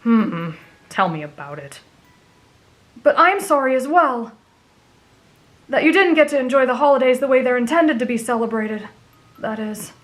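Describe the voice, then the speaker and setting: A woman's voice: high-pitched, expressive, normal speed, pauses often, soft background music. A woman voicing an emotional, cynical teenage boy demon slayer, grumbling and easily exasperated, in an anime fan audio roleplay. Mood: subdued.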